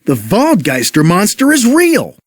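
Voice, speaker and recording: Upbeat, self-assured young race car, read by a man, breezy storybook hero with very lively pitch. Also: unhurried, exaggerated voice. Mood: alarmed. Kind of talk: read-along children's story character.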